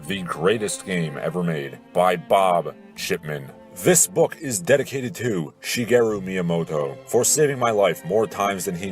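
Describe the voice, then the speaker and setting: A man with a very deep voice reads as if for an audiobook. His delivery is pompous and theatrical, with grand, formal diction. Classical music plays behind him. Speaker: audiobook narrator, video host. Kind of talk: scripted audiobook reading with introduction. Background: classical orchestral music.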